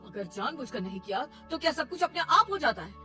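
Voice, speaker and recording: A woman's voice: sits high, very animated, fairly quick, pushed and bright, soft background music, full character voice. A woman voicing a stern, exasperated young husband who complains, in a cartoon moral story.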